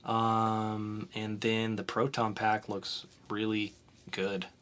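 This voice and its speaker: A young man riffs through the week's news as a podcast co-host, his voice bright and forward. He talks loosely, with ums and restarts, and rushes ahead when something excites him. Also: on the low side. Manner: chatty, with fillers and bursts of speed. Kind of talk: casual news chat.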